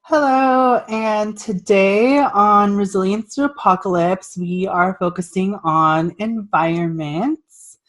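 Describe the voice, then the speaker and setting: A woman's voice: medium pitch, slow. A woman hosting an interview, upbeat and welcoming, with frequent fillers. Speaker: host.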